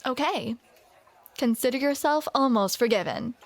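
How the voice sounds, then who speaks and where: A woman's voice: high-pitched, expressive, normal speed. A woman performing a scripted romantic audio roleplay, with playful, teasing banter, close to the microphone.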